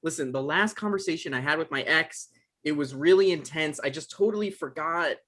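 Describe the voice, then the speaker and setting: A man's voice: on the high side, a bit bright. A man playing an anxious, apologetic male writer who gets defensive and stammers, in a screenplay table read. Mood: flustered, pleading. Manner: halting, stammering.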